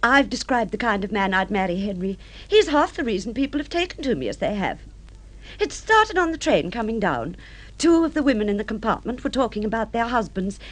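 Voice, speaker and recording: Radio drama wife, middle-aged: dreamy social climber, affected and gushing, highish voice, very lively intonation. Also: female voice, brisk. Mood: boastful, excited. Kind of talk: mid-century radio drama acting.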